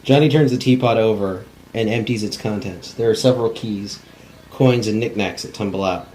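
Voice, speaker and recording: A man's voice: lowish voice, moderate pace, darkish tone. A man narrating stage directions in a plain, unpolished cold reading of a script. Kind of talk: scripted narration at a table read. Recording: faint background noise.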